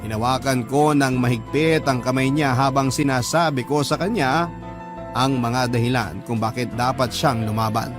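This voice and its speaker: A man's voice: mid-range voice, fairly even intonation, moderate pace. A man with a Filipino accent narrating a heartfelt letter as a dramatic radio storyteller. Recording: music bed behind voice.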